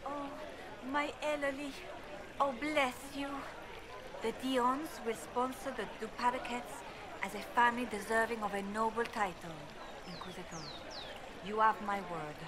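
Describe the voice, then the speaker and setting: A woman's voice: fairly high pitch, fairly even intonation, a little slow, lightly acted. An actress playing a gracious, genteel noblewoman in a fantasy video game, polite and formal, with a crowd behind. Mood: moved, grateful. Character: gracious, genteel noblewoman, emotional about family. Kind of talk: cinematic game dialogue acting. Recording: busy crowd ambience behind.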